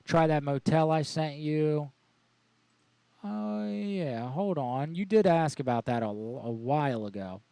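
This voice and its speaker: A man's voice: fairly high pitch, expressive, takes it slowly, creaky, warm tone, dry recording. A man casually bantering as host of a live prank call show, with crude, slangy joking.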